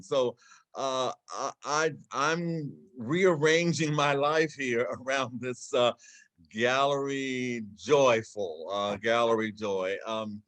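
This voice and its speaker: A man's voice: medium pitch, fairly bright tone. A man speaking as a guest in an online conversation, with wry, self-deprecating humor.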